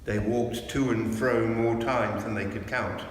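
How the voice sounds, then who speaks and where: A man giving an expressive reading of a storybook as narrator. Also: lowish voice, measured pace. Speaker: clergyman reading a storybook aloud. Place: inside a church. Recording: very echoey church, long reverb, faint noise.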